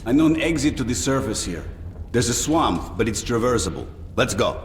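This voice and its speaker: A man's voice: medium pitch, very animated, moderate pace, lightly acted. A man playing a terse survivor guide in a post-apocalyptic video game, giving instructions. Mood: urgent, helpful. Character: gruff adult male survivor guide in post-apocalyptic Metro. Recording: roomy, distant, clearly noisy game audio.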